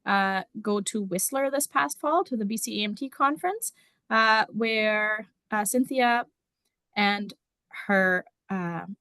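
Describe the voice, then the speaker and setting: German-born teacher explaining, dry sound. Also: female voice, mid-range voice, takes it slowly, bright, forceful tone, clear, resonant tone, dry recording. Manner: casual and explanatory, with frequent ums. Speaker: district numeracy teacher. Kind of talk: conference presentation.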